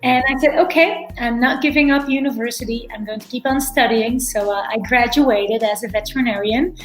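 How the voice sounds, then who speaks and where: A woman's voice: fairly high pitch, a little fast. A middle-aged woman from Belgium, a guest in an interview who often repeats words and restarts. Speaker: guest, veterinarian and animal chiropractor. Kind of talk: casual interview talk. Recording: echoey room, faint music.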